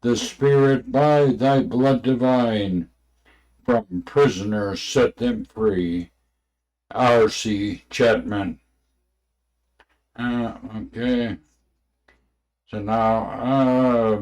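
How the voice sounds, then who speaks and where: A man with a mid-range voice reads aloud from a religious book at an extremely slow pace. His delivery is unpolished and halting, broken into short phrases, with stumbles over the wording. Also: mid-range voice, darkish tone, low-quality recording. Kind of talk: unrehearsed reading aloud with asides.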